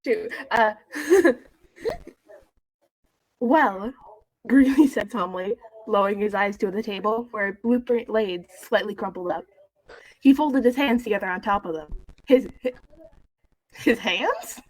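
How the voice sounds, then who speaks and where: A woman in casual chat and amateur reading aloud, giggly, excitable and joking. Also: medium pitch, moderate pace, dry recording, low-quality recording. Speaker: podcast co-host reading along with a friend.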